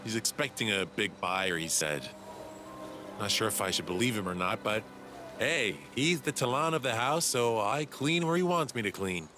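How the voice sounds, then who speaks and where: Man playing eager nerdy young inventor, wildly expressive. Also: lowish voice, moderate pace, close to an everyday voice. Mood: chatty. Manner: enthusiastic, condescending explainer. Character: eager nerdy young male alien inventor, cocky and excitable. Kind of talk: video game cutscene dialogue. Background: background music.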